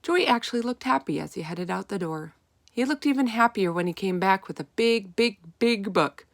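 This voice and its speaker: A woman reading a children's story aloud in an expressive, emphatic way, stretching out key words. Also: mid-range voice, moderate pace, gravelly, no room sound, clean studio sound. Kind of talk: expressive children's read-aloud narration in first person.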